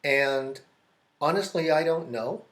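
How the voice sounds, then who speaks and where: An American man teaching an English grammar lesson, explaining slowly and repeating key phrases, often restarting his sentences. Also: on the high side, fairly bright tone. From United States. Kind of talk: classroom grammar lesson at a whiteboard. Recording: echoey classroom.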